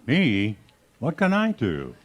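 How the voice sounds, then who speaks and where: A man acts out an earnest, naive teacher in an old-time radio comedy. His mid-pitched voice swings widely, excitable and a bit pedantic. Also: takes it slowly, heavily acted. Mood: flustered. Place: live stage performance at a radio history event.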